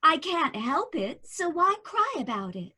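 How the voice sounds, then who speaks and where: An eerily calm lady passenger. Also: high voice, measured pace, exaggerated voice. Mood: serenely insistent. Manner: insistent, serenely unbothered. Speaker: cab passenger. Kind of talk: old-time radio drama.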